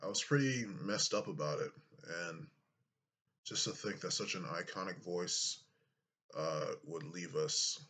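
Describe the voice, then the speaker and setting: Deep-voiced man, slow and unhurried. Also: a bit bright. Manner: unhurried, thoughtful, hesitant with fillers. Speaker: YouTuber. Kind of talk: casual vlog commentary. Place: small room indoors.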